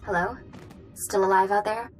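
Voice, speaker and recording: A woman's voice: mid-range voice, steady tone, normal speed, a little hiss or hum, close to an everyday voice, low-quality recording. An actress playing a wary, wry young woman informant in a video game, with clipped, casual phrasing. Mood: businesslike. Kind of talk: video game cutscene acting.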